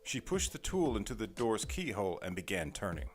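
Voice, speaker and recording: A man's voice: on the low side, sing-song, fairly quick, soft background music. A man reading scripted narration for an audio drama as its narrator.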